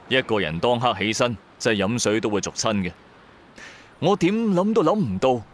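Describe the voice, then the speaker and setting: A man's voice: mid-range voice, a little hiss or hum. A man with a Cantonese accent narrating an audio drama in a colloquial, dramatised first-person style, with chatty asides to the listener. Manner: colloquial, animated storyteller with rhetorical asides.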